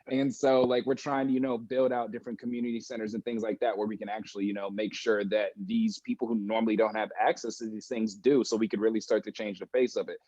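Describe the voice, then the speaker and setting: Young male guest, lowish voice, candid and lively; dry, muffled lo-fi audio. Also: fast, few pauses, no echo. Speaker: guest, esports industry professional. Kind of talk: conversational interview answers.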